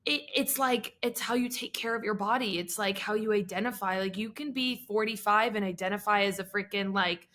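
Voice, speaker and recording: A woman's voice: medium pitch, fairly quick, few pauses, a bit bright. A woman in her late twenties co-hosting a podcast, speaking in an upbeat, emphatic and opinionated way in casual chat. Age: 20s. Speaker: podcast co-host.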